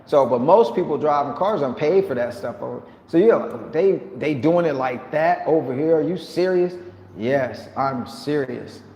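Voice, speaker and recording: A man vlogging casually to his viewers, talking in an animated, slangy, joking way. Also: a little high, normal speed, lo-fi sound. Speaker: vlogger living abroad. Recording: echoey room with long reverb.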